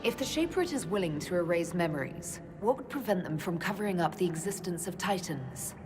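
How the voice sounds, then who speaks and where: A composed female leader from a fantasy video game, played by a woman, her voice on the low side. She sounds inquisitive and diplomatic as she questions her companions. Clear music runs underneath. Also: animated, a little fast, natural voice. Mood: probing, composed. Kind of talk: video game cutscene dialogue.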